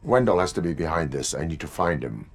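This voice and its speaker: An actor playing a stoic, unyielding cyborg policeman in a video game, with clipped, deadpan, robotic delivery. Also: a bass voice, fairly quick, creaky, full character voice. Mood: stern deadpan. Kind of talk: video game cutscene acting.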